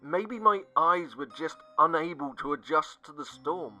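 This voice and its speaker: A man narrating a scripted first-person horror story, dramatic and sinking to hushed tones at the tense moments. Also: fairly high pitch, a little slow. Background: faint music.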